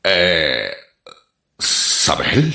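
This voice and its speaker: A man's voice: low voice, huge pitch swings, drawn-out words, gravelly, sharp tone, heavily acted. A man with a northern Philippine accent playing a lovesick, jealous older uncle in a comedy radio drama, melodramatic and prone to stammering. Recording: roomy, echoing voice recording.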